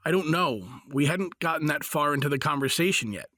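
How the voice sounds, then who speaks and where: A man's voice: a little high, expressive, fairly quick, pushed and bright, no echo, studio quality. A man playing a supportive, earnest father in a fantasy audio drama, with a natural conversational delivery. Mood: thoughtful. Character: supportive, argumentative father held in a fantasy jail.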